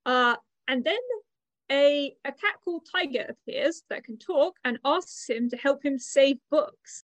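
A woman's voice: high-pitched, unhurried, no room sound. A woman co-hosting a podcast, chatting casually about books in a rambling way. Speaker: podcast co-host. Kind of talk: casual book chat.

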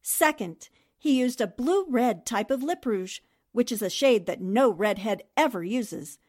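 A woman's voice: on the high side, animated, a little slow, darkish tone. A woman playing a shrewd, self-assured newspaperwoman sleuth in 1940s radio mystery style, explaining things precisely and methodically. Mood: confident, methodical.

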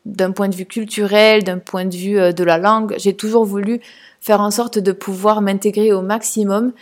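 A woman's voice: medium pitch, level tone, normal speed. A woman from France talking casually in a personal podcast monologue, hesitant with many fillers and restarts. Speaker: podcast host.

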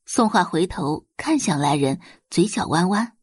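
A woman's voice: fairly low pitch, expressive, measured pace, darkish tone, breathy edge, dry recording, clean studio sound. A woman narrating a scripted audiobook story in a dramatic storytelling style.

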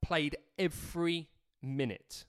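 A man's voice: a little high, takes it slowly, smooth, no room sound, clean studio sound. A man co-hosting a casual football podcast, talking in a chatty, rambling way. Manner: chatty, rambling, lots of fillers and restarts. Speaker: podcast co-host.

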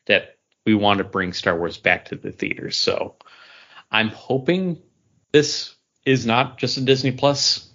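A man's voice: mid-range voice, animated, a little slow, bright, forceful tone, muffled recording. A man co-hosting a podcast, talking in an eager, conversational way about geek news. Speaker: podcast co-host. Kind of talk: casual geek news discussion.

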